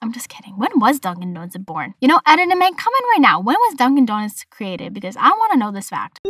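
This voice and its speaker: A young woman with a Boston accent hosting a solo podcast, chatty and full of animated asides. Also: fairly high pitch. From Massachusetts, USA. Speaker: podcast host.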